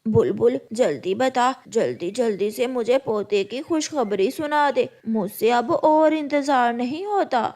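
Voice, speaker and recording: A woman's voice: a little high, animated, measured pace, hardly any pauses, a little mellow. A woman voicing a spiteful, impatient old crow mother-in-law in a cartoon story, nagging and commanding. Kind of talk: Hindi cartoon story acting.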